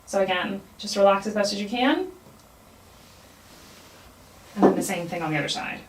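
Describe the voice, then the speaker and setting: A woman's voice: medium pitch, animated, normal speed. Lady teaching a medical exam step by step, roomy sound, mic kinda far away. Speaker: clinical instructor. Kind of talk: instructional exam demonstration, explained step by step. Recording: roomy echo, distant mic.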